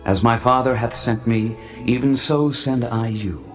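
A man voicing a calm, solemn risen teacher, speaking slowly with gentle authority in a dramatized scripture reading. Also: medium pitch, lo-fi sound, soft background music, lightly acted.

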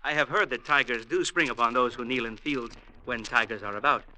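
An actor playing a sly, grandly pious Indian village mayor in a classic radio drama, speaking in a measured, formal, archly knowing way. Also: male voice, mid-range voice, sharp tone. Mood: sly, probing. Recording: noisy old radio recording.

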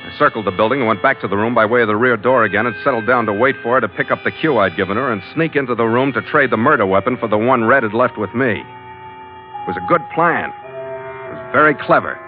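Muffled hardboiled skipper played by an actor, music behind. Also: male voice, medium pitch, quick pace, lightly acted. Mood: tense, wry. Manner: terse, wry, world-weary. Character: hardboiled, weary ship's skipper narrating his troubles. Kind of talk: old radio drama narration and dialogue. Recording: muffled vintage radio recording.

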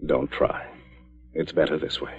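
A man's voice: rumbling low, flat delivery, measured pace, breathy edge, exaggerated voice. A man acting a dutiful, restrained doctor secretly in love in a 1940s radio drama, subdued and hesitant. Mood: tender, restrained. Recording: dull 1940s radio recording with music.

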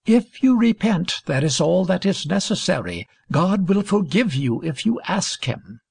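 A woman reading a storybook, voicing a gentle old male minister who comforts slowly and solemnly. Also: on the low side, sing-song, vocal fry, breathy edge, no echo, heavily acted. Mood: gentle consoling.

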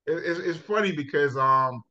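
A middle-aged man from Jamaica, a high school football coach, telling his life story in a relaxed interview with laughs and restarts. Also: moderate pace, a crowd in the background. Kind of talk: casual interview. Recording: dull lo-fi sound.

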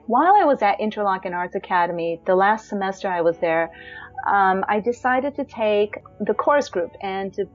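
Woman, 30s, high voice, reflective storyteller, fillers and restarts, dull lo-fi sound, faint music behind. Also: expressive, moderate pace. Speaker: singer telling her life story. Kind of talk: personal storytelling.

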